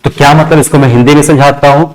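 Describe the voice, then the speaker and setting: Guy teacher, kinda high voice, lecturing class, repeats stuff and keeps asking questions. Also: fairly even intonation, fast, poor audio. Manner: didactic, repeats phrases for emphasis. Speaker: English grammar teacher.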